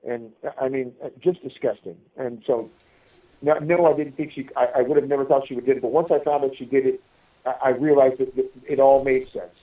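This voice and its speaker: A man telling stories in a casual, rambling way, halting and repeating himself as he addresses his listeners over a telephone. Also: mid-range voice, fairly even intonation, far from the mic. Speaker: podcast guest calling from prison. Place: prison phone call. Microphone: telephone handset. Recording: tinny, band-limited prison phone line.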